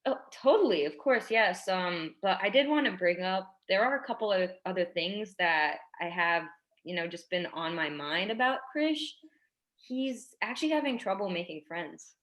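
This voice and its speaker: A woman playing a tactful, apologetic primary schoolteacher in a staged drama reading, hesitant and gently persistent. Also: lowish voice, expressive, normal speed. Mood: careful, concerned. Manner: hesitant, careful, conciliatory.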